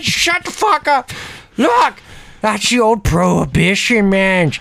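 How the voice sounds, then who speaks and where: A man voicing a cranky, foul-mouthed old man who rambles excitably in a comic horror roleplay. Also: very high voice, no room sound, voice pushed up, heavily acted. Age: older.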